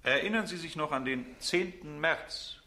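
An actor playing a formal prosecuting counsel in a courtroom radio play, questioning methodically. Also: male voice, a little high, moderate pace, bright, forceful tone.

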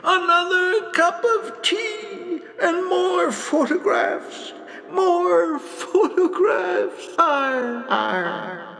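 A man voicing a delirious, feverish young man in a cartoon, raving in drawn-out shrieks. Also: very high voice, lively intonation, gravelly, bright, forceful tone, echoey room, in a large hall, soft background music, pitched above the performer's own voice, exaggerated voice. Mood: delirious frenzy. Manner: shrieking, drawn-out, growling cries.

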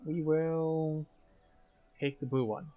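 A man's voice: fairly high pitch, steady tone, slow, phone-quality sound, soft background music. A man giving casual, step-by-step commentary as he guides viewers through a video game. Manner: explanatory, step-by-step.

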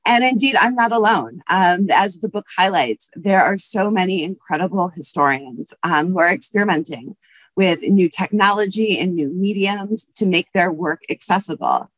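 A woman's voice: on the low side, lively intonation, normal speed, no echo. Scratchy-voiced woman on a video call. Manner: earnest, with frequent um and uh fillers. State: scratchy voice from allergies. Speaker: history professor and panelist. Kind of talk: prepared remarks on a video call. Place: office with bookshelves. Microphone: webcam video call.

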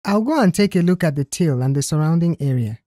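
A woman's voice: low-pitched, lively intonation, quick pace, mellow, no room sound, clean studio sound. A woman voicing a nervous, respectful young female secretary defending herself in an audio story. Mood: anxious. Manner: anxious, defensive.

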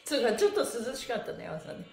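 A high-voiced middle-aged woman leads a livestreamed stretch session with upbeat, exclamatory encouragement. She mixes short rhythmic cues with polite, playful chat. The room is echoey, and music plays behind her. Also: sing-song, quick pace, vocal fry. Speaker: stretching instructor and livestream host. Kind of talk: live exercise class, counting calls and friendly chat. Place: small bare indoor room with wooden floor.

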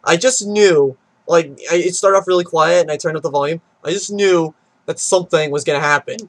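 A man's voice: a little high, brisk, dry recording. A young adult man chatting casually to a webcam at close range in a small room, hesitant and rambling. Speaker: reaction video host. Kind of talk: casual chat to camera.

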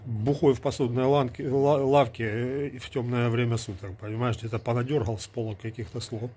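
A man's voice: medium pitch, little pitch movement, fairly quick, mellow, husky. A man in his forties from Ukraine, talking to a phone camera at arm's length in a small indoor room, arguing with a sarcastic, lecturing manner. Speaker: vlogger. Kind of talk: casual talk to camera. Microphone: earbud mic, phone at arm's length.

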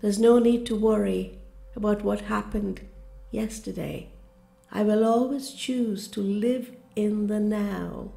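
Slow, mellow woman guiding a meditation. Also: medium pitch, vocal fry. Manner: calm, gentle, deliberate delivery. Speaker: guided meditation narrator. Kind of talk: scripted guided meditation, soothing affirmations. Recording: roomy reverberant voice over music. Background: music.

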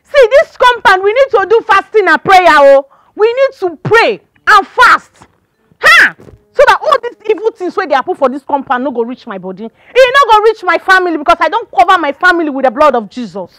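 A woman's voice: extremely high pitch, very animated, fast, few pauses, a bit bright, no echo, exaggerated voice. A woman with a Nigerian accent playing a dramatic, gossipy, pious compound neighbour in a comedy film. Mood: fervent alarm. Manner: dramatic, emphatic.

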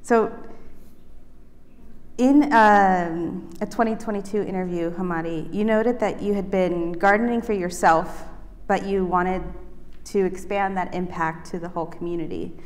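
Soft-pitched woman moderating a panel. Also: fairly even intonation, a little slow. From Ecuador. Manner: thoughtful, hedging questions with fillers. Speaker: curator, moderator. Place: museum auditorium stage, indoors, large. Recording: echoey auditorium with faint ambience.

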